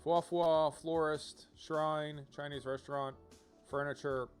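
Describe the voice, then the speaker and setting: A man's voice: a little high, steady tone, takes it slowly, faint music behind. A man casually commentating on a video game as he streams, rambling and thinking aloud as he reads out options.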